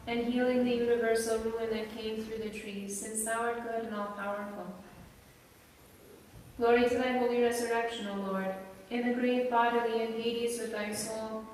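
A woman chanting and reading liturgical prayers in a measured, solemn manner. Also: on the high side. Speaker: church reader and chanter. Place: large reverberant indoor space. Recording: echoey church-like hall, distant microphone, faint noise.

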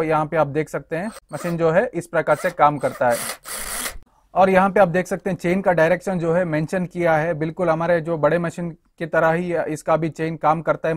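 A young man giving a hands-on walkthrough of a tool, explaining each part to viewers he greets as friends. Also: fairly high pitch. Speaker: tool reviewer.

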